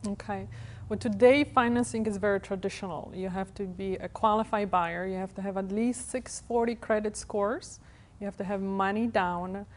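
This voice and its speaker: Woman, 30s, Polish accent, native Polish speaker, mid-pitched voice, real estate broker interviewed as a guest. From Poland. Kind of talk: interview answers. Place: TV studio.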